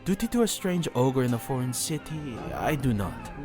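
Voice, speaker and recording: A man's voice: medium pitch, sing-song, normal speed, pitched above the performer's own voice, close to an everyday voice. A man voicing a cautious, clan-minded dwarf in a fantasy storybook, formal, emphatic and pragmatic. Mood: stubborn. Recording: narration over loud background music.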